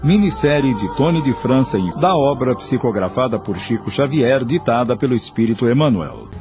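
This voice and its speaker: A man's voice: mid-range voice, animated, normal speed. Solemn Brazilian male narrator over prominent music. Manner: solemn, formal, declamatory. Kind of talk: dramatic scripted narration for audio soap opera.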